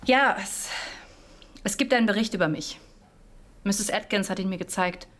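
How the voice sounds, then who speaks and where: Radio play casting: actress as young female trainee agent, mid-pitched, soft-spoken, polite, earnest and curious. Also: moderate pace, natural voice. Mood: polite, eager. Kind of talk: German radio drama acting.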